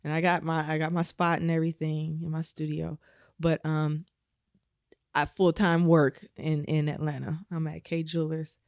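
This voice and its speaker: A woman from Omaha with a naturally raspy voice, talking casually as a guest in a podcast interview with a laid-back flow. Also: deep voice, flat delivery, normal speed, a little mellow, dry recording, studio quality. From Omaha, United States. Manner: laid-back, frequent "you know" and laughs. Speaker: guest musician.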